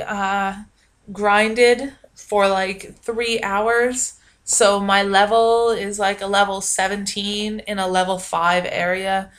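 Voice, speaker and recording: Young adult woman, casual vlogger; loud, projecting, self-deprecating ramble. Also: medium pitch. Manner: rambling, self-mocking, lots of ums. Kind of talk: casual vlog chat.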